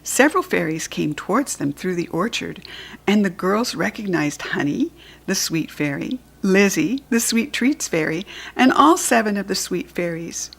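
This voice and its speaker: A woman's voice: medium pitch, normal speed. Woman reading a children's storybook aloud. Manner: clear and expressive. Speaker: storybook reader.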